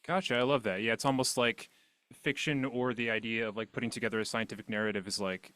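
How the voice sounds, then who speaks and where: A man's voice: fairly low pitch, fairly even intonation, fast, pushed and bright, no echo. Casual male podcast host, dry close sound. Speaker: podcast host interviewing an author. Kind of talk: casual interview chat.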